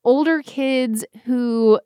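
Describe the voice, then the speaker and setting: A woman in her thirties co-hosting a casual chat, telling stories in an animated, irreverent way peppered with "like". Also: fairly high pitch, drawn-out words, smooth, no echo. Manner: animated, irreverent, frequent "like" filler. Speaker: podcast co-host.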